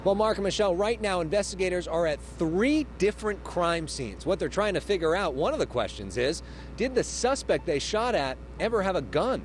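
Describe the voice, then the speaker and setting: A man's voice: high-pitched, sing-song, brisk. A man reporting live for television news from a scene outdoors. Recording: noisy outdoor live shot.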